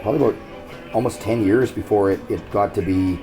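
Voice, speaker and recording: A man from Canada with a very low voice tells his stories loudly and at ease, with a frank, joking edge. Soft music plays faintly behind him. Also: fairly quick, rough recording. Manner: loud, relaxed storyteller with a frank sense of humor. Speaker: tribute singer being interviewed. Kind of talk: casual interview.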